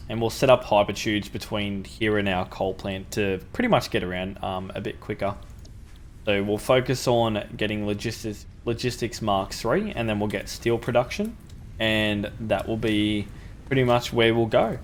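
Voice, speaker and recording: A man's voice: lowish voice, moderate pace, slight background noise. Man with a sore throat, gameplay commentary. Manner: relaxed, thinking aloud with ums. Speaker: gaming channel host.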